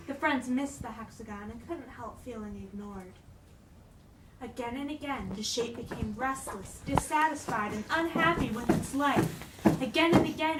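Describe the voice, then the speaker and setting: Female storyteller, high voice, theatrical delivery; roomy, noisy recording. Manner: expressive, theatrical. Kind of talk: dramatic storybook read-aloud. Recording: roomy, distant microphone with clear noise.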